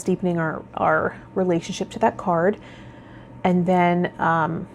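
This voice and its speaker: A woman with a fairly low voice presents in the manner of a spiritual vlogger. Her delivery is measured and instructive. There is noticeable background noise in the recording. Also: a little mellow. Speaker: host of a tarot and spiritual healing channel. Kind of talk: tarot vlog, part read-out guidance, part informal talk.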